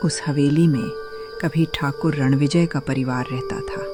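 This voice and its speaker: Woman acting old village grandmother, slow, solemn, foreboding, horror drama, music behind. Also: low-pitched, fairly even intonation.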